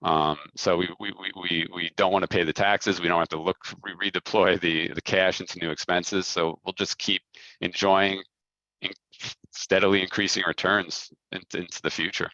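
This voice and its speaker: A man talking informally on a business conference call, halting as he repeats and rephrases his words. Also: low voice, flat delivery, pushed and bright, no room sound, lo-fi sound. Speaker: company head answering investor questions.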